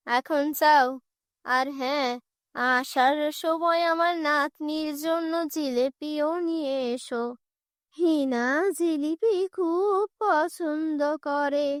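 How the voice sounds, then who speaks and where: An elderly cartoon grandmother, acted by a woman, doting yet firm. She draws her words out slowly and protectively, in a dry, close recording. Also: sits high, dry recording. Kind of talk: children's fairy-tale cartoon voice acting.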